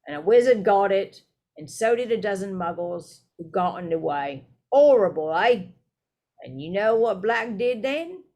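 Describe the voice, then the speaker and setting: A chattering young bus conductor, loud and eager to spin a dramatic tale, as a woman reading aloud puts him on. He drags his words out slowly for effect. It sounds like a storybook read aloud at home. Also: mid-range voice, expressive. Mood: gossipy. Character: excitable gossipy young male bus conductor.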